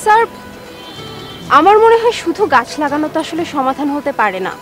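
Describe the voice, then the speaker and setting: Outspoken young woman for TV drama: very high, lively voice, easily irritated. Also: brisk, soft background music. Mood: earnest. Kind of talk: Bangla TV drama acting.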